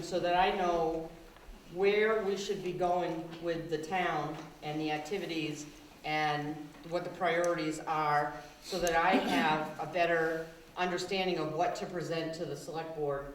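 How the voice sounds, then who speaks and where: A woman who is the town manager, running a public workshop in a loud, projecting, self-assured voice. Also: deep voice, fairly even intonation, unhurried. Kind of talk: public meeting talk. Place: town meeting room. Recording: echoey hall, far from mic, faint noise.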